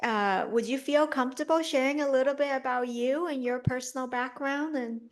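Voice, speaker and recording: A woman's voice: high-pitched, moderate pace, a little hiss or hum. Curious woman podcast host. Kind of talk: interview chat.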